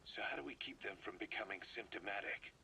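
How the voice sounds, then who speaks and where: A terse, bright-voiced commander. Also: male voice, lowish voice, fast, gravelly, a little hiss or hum, heavily acted, rough recording. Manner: clipped, businesslike. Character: male military commander, terse, wary. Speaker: military commander. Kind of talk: video game voice acting.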